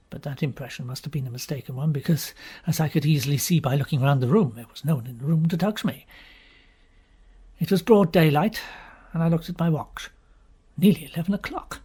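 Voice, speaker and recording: Male storyteller for audiobook fiction, husky and breathy, theatrical delivery with emphatic pauses. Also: fairly high pitch, darkish tone. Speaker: audiobook reader. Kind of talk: dramatic first-person storybook narration.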